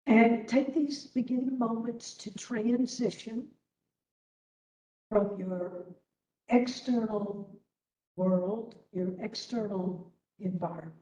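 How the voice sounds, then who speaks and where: A woman leading a guided meditation as instructor, gently and unhurriedly, leaving long pauses between phrases. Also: mid-range voice. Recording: roomy, distant webinar audio.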